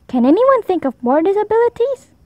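A woman's voice: very high voice, sing-song, a little fast, no echo, highs cut off, voice pushed up. A woman voicing a kind but firm female primary school teacher in a storybook, instructive in manner. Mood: explaining. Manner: clear, instructive.

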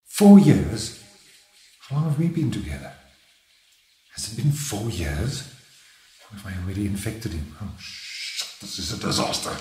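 An anxious boyfriend, deep and swooping. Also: male voice, sing-song, a little slow, long pauses, dark tone. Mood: anxious self-blame. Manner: swings between forced casual cheer and fretful self-talk. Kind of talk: radio drama acting with whispered inner monologue. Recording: roomy radio drama with faint music.

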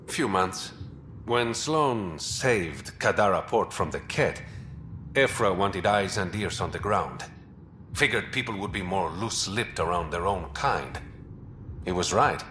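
An actor playing a roguish, smooth-talking young smuggler and spy in a video game, wry, teasing and self-assured. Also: male voice, low voice, animated, a little slow, bright, forceful tone, echoey room, a little hiss or hum, full character voice. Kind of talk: video game character dialogue.

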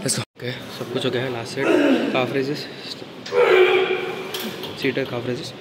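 A man's voice: mid-range voice, little pitch movement, unhurried, very noisy. A young man talking casually to his phone camera in a gym, reporting his sets and weights, a little out of breath. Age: young adult. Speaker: fitness vlogger. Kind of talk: casual workout vlog narration. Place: indoor gym. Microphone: handheld phone camera. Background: loud gym music.

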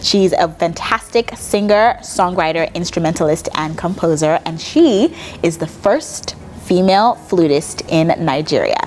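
A woman's voice: lowish voice, expressive, a little mellow, a little hiss or hum. Bubbly young woman TV host. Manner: bubbly, quick, upbeat. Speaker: TV show host. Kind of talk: entertainment interview hosting. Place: dark television studio set, seated in armchair. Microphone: lapel mic.

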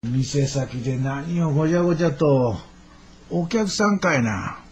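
Middle-aged kansai shop dad, husky, kinda high voice, super polite, radio drama. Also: male voice, lively intonation, measured pace, dull audio. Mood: gruff, curious. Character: middle-aged shopkeeper father, effusively polite to old teacher.

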